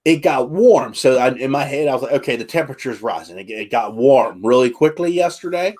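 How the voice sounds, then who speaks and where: A man hosting a livestream, chatting casually in a halting way with frequent restarts and reading out viewer questions. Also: medium pitch, expressive, darkish tone. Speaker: livestream host.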